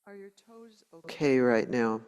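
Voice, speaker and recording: A woman meditation teacher leading a guided meditation in a gentle, unhurried way, leaving long pauses between short phrases. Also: very deep voice, very animated, smooth. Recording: long hall reverb.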